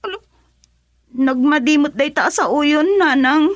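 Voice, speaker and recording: A young daughter in a radio drama, with a very high, girlish voice. She has an Ilocano accent. She sounds reluctant and plaintive, protesting against pressure. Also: unhurried, dry recording, studio quality. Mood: pleading.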